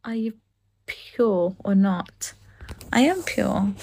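A woman's voice: medium pitch, expressive, takes it slowly, a little mellow. A young woman from the Philippines with a Filipino accent, live-streaming from her phone indoors, gushing and giggling as she thanks viewers. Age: young adult. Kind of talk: casual live-stream chat reading comments. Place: indoor room. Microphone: phone held close at arm's length.

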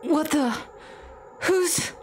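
Woman acting as frightened teen schoolboy, horror game, panicky broken phrases, slow, noisy background. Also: high-pitched, pitched above the performer's own voice. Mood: panicked, strained. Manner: halting, panicky, gasping phrases.

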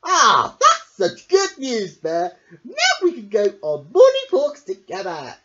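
A storybook reader voicing an eager, chatty, needy duck with an excitable, pleading manner. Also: medium pitch, takes it slowly, heavily acted. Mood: delighted. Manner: excitable, pleading, swooping exclamations. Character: eager, chatty, needy cartoon duck, pestering best friend. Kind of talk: picture book read-aloud character voice. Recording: dull lo-fi home recording.

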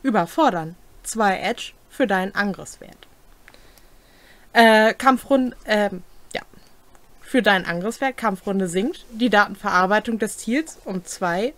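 A woman's voice: medium pitch, measured pace, a bit bright, no room sound. A young woman co-hosting a casual chat, reading out and commenting on game rules. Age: young adult. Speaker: co-host presenting game rules. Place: small cluttered home room.

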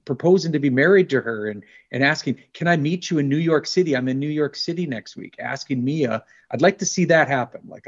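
A middle-aged man talking in an earnest, rambling way, repeating words as he gets worked up, in a video call discussion. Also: medium pitch. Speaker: panel guest. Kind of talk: casual discussion. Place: indoor studio room on a video call. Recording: dull lo-fi video call audio.

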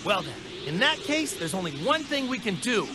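A woman's voice: on the high side, very animated, normal speed, noisy background. An actor playing an earnest, emphatic male martial-arts hero in an anime video game dub. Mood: determined. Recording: loud music behind the voice.

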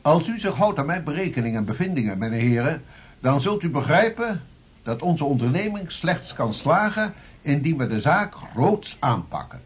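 An actor playing a self-assured, argumentative Dutch scholar in a radio drama, husky and lecturing insistently. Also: male voice, on the high side, sing-song, moderate pace, dull audio. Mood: insistent, cocksure.

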